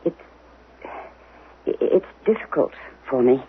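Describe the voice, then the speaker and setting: An actress playing a timid, conditioned administrator in a futuristic radio drama, hesitant, stammering and apologetic. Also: female voice, a bass voice, lively intonation, slow, husky, exaggerated voice. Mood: timid, flustered. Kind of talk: 1970s radio drama acting. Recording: muffled old radio broadcast.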